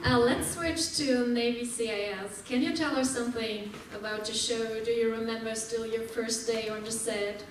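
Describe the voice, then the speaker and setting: A woman moderating a fan panel, asking her questions slowly and carefully. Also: a little high, steady tone. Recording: echoey hall, recorded from far off, noisy.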